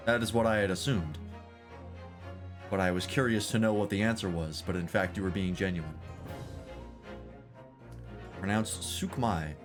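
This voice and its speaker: A man with a low voice chats loosely while streaming a game, swinging his pitch up and down as he rambles. His talk wanders through self-deprecating jokes, and faint music plays underneath. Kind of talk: casual game stream chat.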